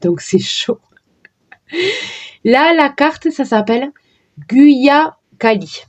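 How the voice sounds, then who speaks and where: A woman casually presenting her oracle card decks, talking in a hesitant way with many pauses and fillers. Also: high voice, animated. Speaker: card reader vlogger.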